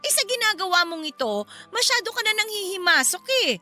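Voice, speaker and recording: A defensive, protective middle-aged Filipino mother in a radio drama. The voice is very high and swoops expressively, sassy in manner, with light music underneath. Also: big pitch swings, fairly quick, sharp tone, heavily acted. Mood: firm, defiant.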